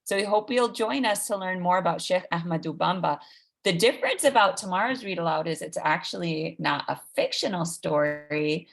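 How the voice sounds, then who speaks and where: A woman's voice: lowish voice, normal speed, gravelly. A woman hosting a live online event for children, talking informally and directly to listeners as she makes announcements. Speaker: host of an online read-aloud event.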